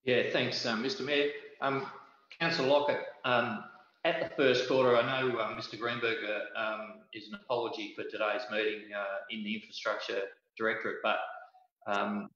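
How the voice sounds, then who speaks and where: A man with an Australian accent giving a formal update as a council executive, speaking haltingly. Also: on the low side, level tone, a bit bright. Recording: very echoey, slightly dull room pickup.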